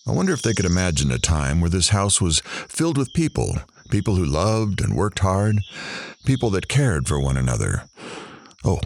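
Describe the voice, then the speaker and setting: A very low, swooping male voice, acted by a man as a snide reporter narrating an audio drama. He talks in sardonic, impatient asides. The recording has some background noise. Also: very animated, moderate pace, creaky, full character voice. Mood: musing. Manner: sardonic, conversational, theatrical asides.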